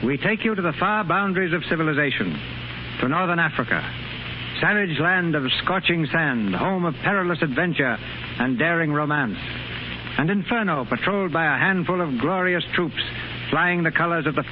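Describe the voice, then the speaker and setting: A man's voice: a little high, lively intonation, moderate pace, noisy background. A man hosting with formal, scripted 1930s radio announcing. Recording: muffled 1930s radio recording.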